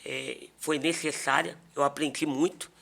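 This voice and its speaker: Male pastor, Brazilian accent, slightly high bright voice, relaxed podcast storytelling. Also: measured pace, vocal fry. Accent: Brazilian Portuguese.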